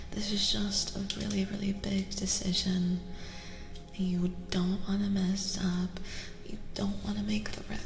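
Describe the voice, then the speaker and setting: A woman doing a soft-spoken ASMR tarot reading, moving between a breathy whisper and a soft voice, soothing and gently reassuring. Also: mid-range voice. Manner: soothing, gently reassuring, repeats phrases slowly. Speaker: ASMR streamer and tarot reader. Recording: reverberant echo with music behind. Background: music.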